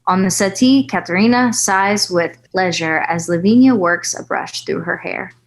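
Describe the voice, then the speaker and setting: A woman's voice: fairly low pitch, moderate pace. A woman reading stage directions as narrator at a screenplay table read, in composed, literary storytelling style.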